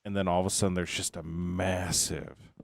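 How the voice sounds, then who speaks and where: Deep-voiced man rambling while gaming. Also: steady tone, moderate pace, vocal fry. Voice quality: deep low voice. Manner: rambling, musing, repeats himself. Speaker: game streamer. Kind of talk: casual chat while playing.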